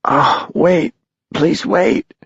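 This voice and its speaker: Guy doing a frail sickly little boy in a storybook, way high voice, strained and pleading. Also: extremely slow, gravelly, a bit bright, slightly rough, no echo, highs cut off, full character voice. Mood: weak, pleading. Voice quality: frail, thin. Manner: plaintive, strained calling. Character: small, frail, ailing young boy, earnest and pleading.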